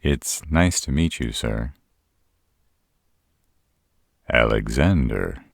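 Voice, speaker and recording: A man's voice: extremely low pitch, expressive, measured pace, vocal fry, dry recording, clean studio sound, full character voice. A man playing a nervous, sarcastic male vampire in a roleplay audio, stammering and hesitant, easily exasperated.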